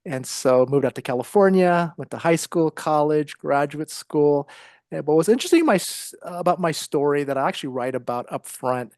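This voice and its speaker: A middle-aged man with an American accent, speaking conversationally as an interview guest, with halting, um-filled phrasing. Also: on the high side, expressive, darkish tone, dry recording, studio quality. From Ohio, United States. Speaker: interview guest, psychologist and author.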